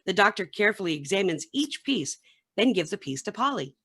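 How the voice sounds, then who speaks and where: A woman reads scripted stage directions as narrator of a radio play. Her pitch is mid-range and moves in a lively, animated way. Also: brisk, husky.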